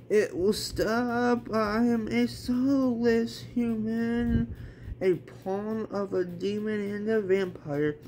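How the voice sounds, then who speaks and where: A man voicing a tormented, soulless vampire demon bound to hell, in dramatic, drawn-out recitation for a manga story. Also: a little high, a little mellow, a little hiss or hum.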